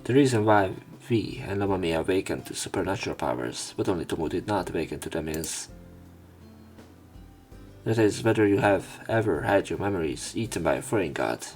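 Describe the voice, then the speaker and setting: Low voice guy reading a visual novel out loud, measured short phrases, bit of game music behind. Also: lively intonation. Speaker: let's play narrator.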